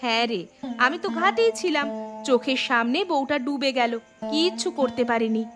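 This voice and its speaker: Woman acting a high-voiced fussing village mother. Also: animated, normal speed. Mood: worried, insistent. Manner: insistent, questioning. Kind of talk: Bengali fairy tale cartoon acting. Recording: music under the voice.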